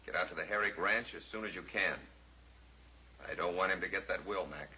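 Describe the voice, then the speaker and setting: A gruff outlaw boss in an old radio drama, curt and commanding. His pitch sits very low, the tone harsh and sharp, with a faint hiss behind. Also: a little fast, full character voice, poor audio. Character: gruff scheming outlaw boss, adult man. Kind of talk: 1950s radio drama acting.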